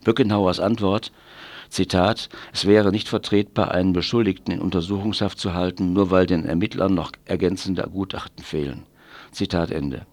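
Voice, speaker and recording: A man's voice: low voice, fairly even intonation, moderate pace, darkish tone, no room sound. A man presenting scripted news commentary on the radio, with pointed, sardonic wording.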